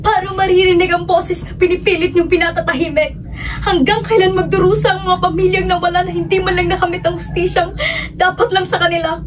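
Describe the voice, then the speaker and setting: High-voiced impassioned young activist daughter played by a woman. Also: level tone, fairly quick, slight background noise. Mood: indignant. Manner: impassioned, pleading. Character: Ella, grieving young activist daughter fighting for justice. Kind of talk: Filipino radio drama acting. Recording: distant mic under loud music.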